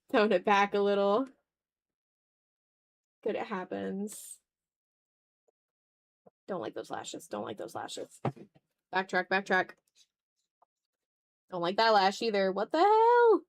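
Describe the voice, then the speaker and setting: A woman's voice: medium pitch, big pitch swings, unhurried, long pauses. A woman chatting casually while she draws on a livestream, rambling in a self-deprecating way. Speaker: art livestreamer. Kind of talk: casual chat while drawing on stream.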